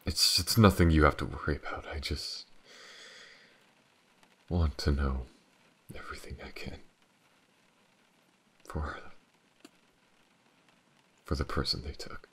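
A man acts out a world-weary detective with a very deep, gravelly voice. He speaks slowly, in a hesitant and shyly tender way, as if confiding in one listener in an intimate audio drama. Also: a bit bright, slight background noise, full character voice. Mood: somber, halting. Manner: hesitant, halting, stammering. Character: world-weary but tender male detective, awkwardly shy. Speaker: voice actor. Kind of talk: audio roleplay, intimate acting addressed to the listener.

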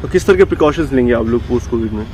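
A man's voice: mid-range voice, lively intonation, racing, a little mellow, slight background noise, rough recording. A man interviewing athletes outdoors, asking brief questions with English words mixed in. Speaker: reporter.

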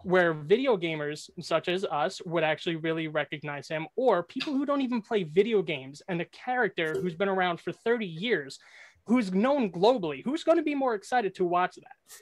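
A man's voice: sits high, expressive, moderate pace, no room sound. A young man on a video call with a headset, making brash, trash-talking debate points. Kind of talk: competitive debate argument.

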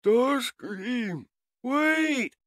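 A man's voice: very high voice, expressive, drawn-out words, a bit bright, voice pushed up, exaggerated voice. A man voicing a cruel male robot jet warrior with a sneering manner. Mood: pained pleading.

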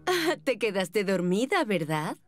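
A woman voicing a kind, patient mother pig in a children's cartoon dub, explaining gently. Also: medium pitch, sing-song, unhurried, over music. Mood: bright, teasing.

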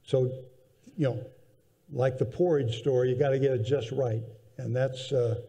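Older man with a gravelly, mellow voice. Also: mid-range voice, fairly even intonation. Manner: measured, thoughtful, with frequent restarts. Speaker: panel guest. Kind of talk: conference panel discussion. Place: large conference hall stage, seated in armchair. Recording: reverberant convention hall.

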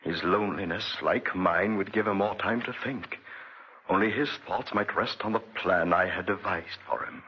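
A man's voice: deep voice, moderate pace, slight background noise, lightly acted. An actor playing a vengeful, grief-maddened man in 1940s radio drama style, grave and theatrical. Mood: grim narrating. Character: vengeful, grief-maddened man, escaped debtor turned obsessive avenger. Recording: muffled, dull 1940s radio recording.

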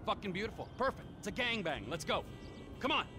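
Manic, high-voiced middle-aged criminal. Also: male voice, big pitch swings, fairly quick, background noise. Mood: manic eagerness. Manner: erratic, sneering, profane. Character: manic, volatile middle-aged male criminal, crude and mocking. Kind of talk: video game cutscene acting.

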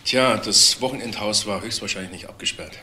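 A man's voice: on the low side, fairly quick, sharp tone. An actor playing a plain-spoken male police detective in a crime drama, colloquial and businesslike. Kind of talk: screen crime drama dialogue. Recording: faint background noise.

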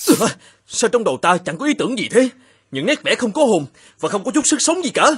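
A man voicing an earnest, troubled young male painter and devoted husband in an animated fairy tale. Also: high voice, fairly quick, husky, exaggerated voice. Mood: frustrated.

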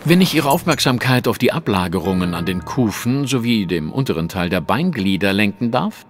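An actor playing a shrewd, self-assured gentleman detective in an audio drama, speaking in a precise, formal, lecturing manner. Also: on the low side, sing-song, brisk, faint music behind. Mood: lecturing, superior.

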